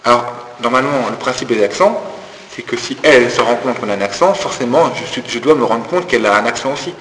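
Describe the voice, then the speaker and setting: A man's voice: mid-range voice, fast, a bit bright. A man from Lorraine with a Lorraine French accent, explaining things at length in a casual, playful chat. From Lorraine, France. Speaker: discussion participant. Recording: echoey room, distant reverb, faint noise.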